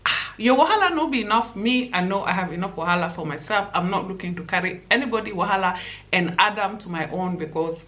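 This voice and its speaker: A woman's voice: medium pitch, expressive, quick pace, fairly bright tone. A young woman with a Nigerian accent, giving impassioned, pleading commentary to camera as a vlogger.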